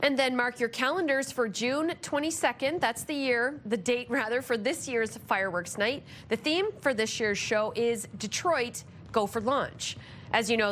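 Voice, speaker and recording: Lady news anchor, higher voice, reading the stories, bit of noise behind her. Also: a bit bright. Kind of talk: scripted news narration.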